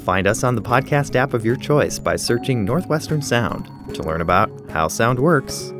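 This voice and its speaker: A man's voice: mid-range voice, lively intonation, moderate pace. A man reading scripted narration as the narrator of a podcast. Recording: clear music bed behind the voice. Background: music.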